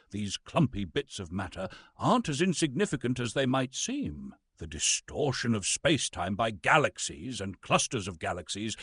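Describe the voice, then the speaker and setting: A man playing a pompous, disgruntled actor who narrates science scripts theatrically, with a husky voice and dramatic emphasis. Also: mid-range voice, a bit bright, no echo, studio quality. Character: pompous, disgruntled male Shakespearean actor reading physics lectures.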